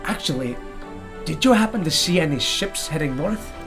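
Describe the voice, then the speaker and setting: Man playing a gruff, courteous warrior priest. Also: on the high side, expressive, moderate pace, music in the background. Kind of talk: fantasy audio drama acting.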